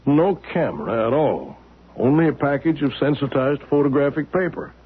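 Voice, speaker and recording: A calm, mysterious man in an old radio drama, explaining patiently in a husky voice with lively pitch. The audio is narrow and phone-like, like an old broadcast. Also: normal speed. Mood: measured. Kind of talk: 1970s radio drama acting.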